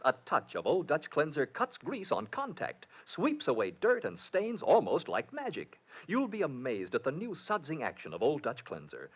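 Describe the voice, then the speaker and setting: A muffled old radio broadcast of a male announcer in 1940s style. His voice is fairly high and slightly rough, swinging widely as he delivers emphatic narration and sales copy.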